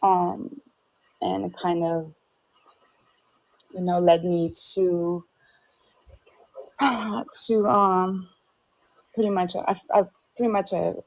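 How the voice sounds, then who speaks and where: Young woman guest from Ukraine; low, slow, halting delivery with a creaky edge. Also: flat delivery, low-quality recording. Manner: halting, hesitant, soft-spoken. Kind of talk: personal testimony. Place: remote call.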